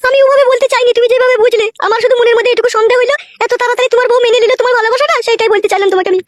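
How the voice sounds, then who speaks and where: Woman as sulky, very high-pitched cartoon second wife. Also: fairly even intonation, rapid-fire, heavy background noise. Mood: doubting. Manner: questioning, doubting, grudging. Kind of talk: comedy cartoon dialogue.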